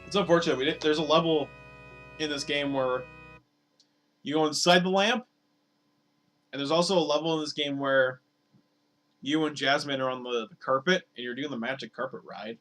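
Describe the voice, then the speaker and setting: A man streaming games, talking casually and rambling with lots of fillers, his voice slightly hoarse. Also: on the high side, moderate pace, pauses often, fairly bright tone, soft background music. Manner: rambling, filler-heavy, repeats words. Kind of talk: casual live-stream chat over gameplay.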